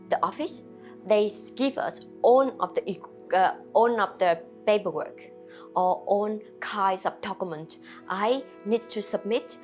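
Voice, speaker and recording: A woman from Vietnam with a Vietnamese accent, a restaurant owner answering interview questions in halting, simple non-native English with many fillers. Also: mid-range voice.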